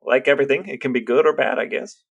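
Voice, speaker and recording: An American man co-hosting a podcast, explaining things casually in a measured, matter-of-fact way. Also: mid-range voice, creaky, slightly rough, lo-fi sound. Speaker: podcast co-host.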